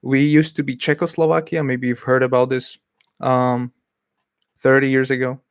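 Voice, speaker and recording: A man's voice: mid-range voice, level tone, moderate pace, smooth, no echo, clean studio sound. A man from Slovakia, hosting a casual livestream, talking hesitantly and reading out chat messages. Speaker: livestream host.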